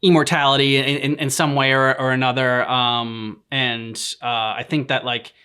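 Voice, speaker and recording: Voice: mid-range voice, flat delivery, normal speed, bright, forceful tone, clear, resonant tone. A reaction show co-host chatting casually and reflectively, searching for words in a hesitant manner.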